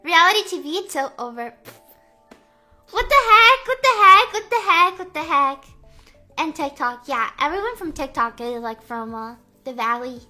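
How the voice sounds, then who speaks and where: Bright, bubbly young woman streamer. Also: high-pitched, expressive, soft background music. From US Midwest. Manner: bubbly, playful, heavy "like" filler, drawn-out vowels. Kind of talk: casual live-stream chat reading comments.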